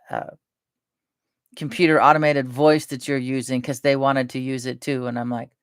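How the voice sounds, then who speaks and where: Woman, soft-spoken, gravelly, dry close sound, casual rambling chat. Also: a bass voice, dry recording. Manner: soft-spoken, chatty, drifts between topics. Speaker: live streamer.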